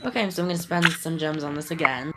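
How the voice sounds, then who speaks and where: A woman's voice: sits low, fast talker, very noisy. Phlegmy-voiced woman chatting about a game. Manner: chatty, apologetic about her voice. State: sick, phlegmy throat. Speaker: gaming YouTuber. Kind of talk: casual game commentary.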